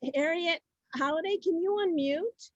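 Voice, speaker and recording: A woman with a high voice moderates a community show on a video call. She is flustered and halting, repeats herself, and sounds close and dry. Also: no room sound, lo-fi sound. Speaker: host of a community reader's theater show. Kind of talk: semi-scripted moderating.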